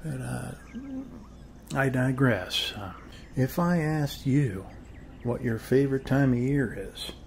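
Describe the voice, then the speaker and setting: A man playing a friendly, rambling middle-aged suburban neighbor in an ASMR role-play, with an American accent and a soft, hesitant, folksy delivery. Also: mid-range voice, takes it slowly, creaky, pauses often. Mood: friendly, chatty. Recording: faint steady background noise.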